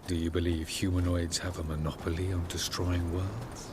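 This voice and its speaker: A man's voice: low-pitched, flat delivery, moderate pace, very noisy, close to an everyday voice. An actor playing an aloof, ancient male elf sage who speaks in formal, condescending sentences in a video game. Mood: lofty.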